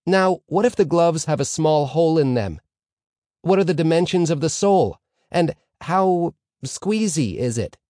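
Guy doing a keen yeshiva schoolboy in a story, nitpicky questions, voice pitched up and bouncy. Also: expressive, moderate pace, dark tone, no room sound, studio quality, close to an everyday voice. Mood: quibbling, curious. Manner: inquisitive, emphatic. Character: eager, quibbling teenage yeshiva schoolboys answering their rabbi.

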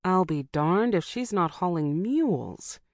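A practical young American woman pilot, as an audiobook narrator reads her, brisk and direct. Her lines sit close to the narrator's own voice, recorded dry. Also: mid-range voice, lively intonation, dry recording. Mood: wry.

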